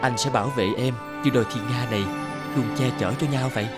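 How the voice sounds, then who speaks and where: A man voicing a polite, hesitant married businessman torn by guilt in an animated moral drama. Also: medium pitch, fast talker, lightly acted. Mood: tender. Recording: music under the dialogue.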